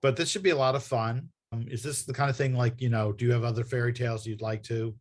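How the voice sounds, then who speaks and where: A man's voice: mid-range voice, steady tone, fast talker. A man hosting a casual promotional interview, speaking in an affable, chatty way. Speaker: interview host for a comics publisher.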